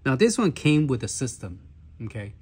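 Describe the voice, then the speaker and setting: A man with a hoarse voice, walking through a gaming handheld's menus in a halting casual way, with many fillers and restarts. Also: medium pitch, sing-song, a little mellow. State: hoarse, clearing his throat. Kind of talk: casual walkthrough demo.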